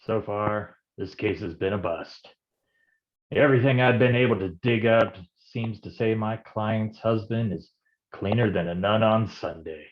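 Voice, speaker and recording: An actor playing a hard-boiled male private detective in a noir staged reading, gruff and wisecracking in old-time gumshoe slang. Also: on the low side, a little slow, muffled recording, natural voice. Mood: brooding.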